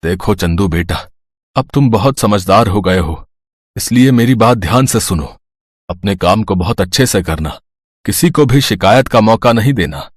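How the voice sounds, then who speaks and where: A man voicing a worried, ageing village barber and father who admonishes in a Hindi animated story. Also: fairly low pitch, normal speed, no room sound, studio quality. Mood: advising. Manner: admonishing, exasperated.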